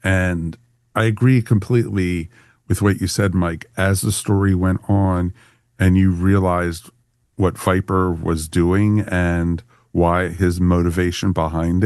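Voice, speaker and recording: A man's voice: low voice, steady tone, takes it slowly, darkish tone, no room sound, studio quality. A man casually discussing and reviewing a story as a podcast host, in a conversational way peppered with "you know".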